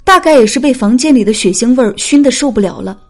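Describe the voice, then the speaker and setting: A woman's voice: fairly high pitch, expressive, quick pace. A woman reading a dramatic scripted story as an audiobook narrator.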